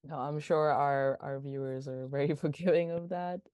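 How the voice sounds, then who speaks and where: A woman's voice: low-pitched, little pitch movement, a little slow, no echo. A young adult woman hosting an interview, hesitant and filler-heavy as she asks questions and wraps up. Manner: hesitant, many fillers, soft laughs.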